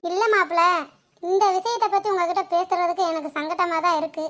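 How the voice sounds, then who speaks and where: A woman's voice: very high-pitched, steady tone, brisk, fairly bright tone. A woman voicing a fussy, insistent middle-aged mother in a cartoon comedy, with a scolding, pressing manner.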